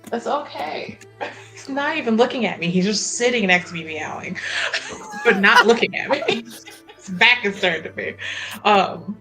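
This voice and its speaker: Female tabletop player, medium pitch, unhurried, hesitant casual game chat over music. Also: wildly swooping pitch, pushed and bright. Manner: hesitant, breaking off and rephrasing. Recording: background music.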